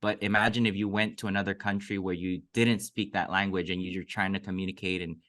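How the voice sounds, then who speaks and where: A man's voice: deep voice, flat delivery, fairly quick, fairly bright tone. A man from Mexico whose first language is Cantonese, a podcast guest telling a personal story in an earnest, reflective way. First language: Cantonese (Toisan). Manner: reflective, earnest, many fillers. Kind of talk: casual storytelling interview.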